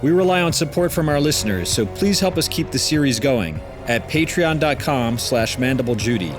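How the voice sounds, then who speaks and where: A man's voice: mid-range voice, expressive, normal speed, over music. A man hosting a podcast, reading the credits and sign-off in a casual way. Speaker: podcast host. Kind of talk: show outro and credits.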